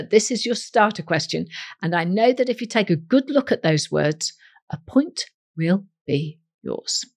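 A woman hosting a quiz in a scripted, playful style, speaking directly to listeners with a husky voice. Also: medium pitch. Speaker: quiz show host.

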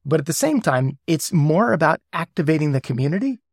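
A man being interviewed as a guest who explains ideas step by step with worked examples. He often checks in with a quick "right?". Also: fairly high pitch, big pitch swings, gravelly, dry recording, studio quality. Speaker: guest, governance lead.

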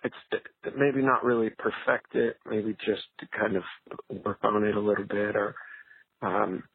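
A man's voice: on the low side, steady tone, measured pace, creaky, breathy edge, no echo. A man from Canada leaving a casual call-in message over a muffled phone line, speaking hesitantly and trailing off. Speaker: caller.

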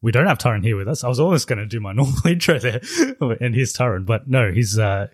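A man's voice: mid-range voice, fast, slightly rough, no echo, clean studio sound. A man hosting a casual podcast, steering the segments and reading out facts and figures. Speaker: podcast host.

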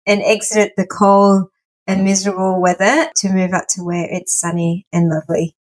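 A woman from Malaysia and Singapore, a guest on a podcast, tells her life story in a casual, animated voice. She talks warmly and laughs now and then, breaking off and restarting her sentences. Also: mid-range voice, normal speed.

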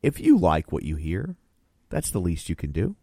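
A man's voice: low voice, wildly swooping pitch, normal speed, gravelly, warm tone, no room sound. Chatty man in his forties, dry sound. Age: 40s. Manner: chatty, rambling, laughs often. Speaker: podcast host. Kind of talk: casual chat.

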